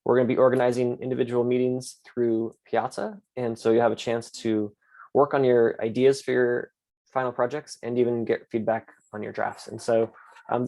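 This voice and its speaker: A man running an online astronomy class, moderating questions and explaining the course in a relaxed way with many fillers. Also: mid-range voice, creaky. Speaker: course instructor and host.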